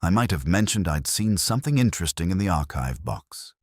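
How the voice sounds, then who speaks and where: Guy reading a jumpy woman keeping secrets, talks low, very deep husky voice. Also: big pitch swings, fairly quick, no room sound, studio quality. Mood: hesitant. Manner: low, hesitant speech. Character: nervous woman hiding a ledger.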